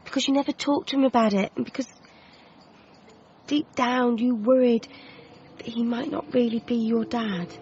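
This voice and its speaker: A woman's voice: fairly high pitch, steady tone, normal speed, pauses often, a little mellow, lo-fi sound, slight background noise, natural voice. A woman acting a concerned, practical figure who reasons insistently with a troubled man in a radio drama. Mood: firm, concerned.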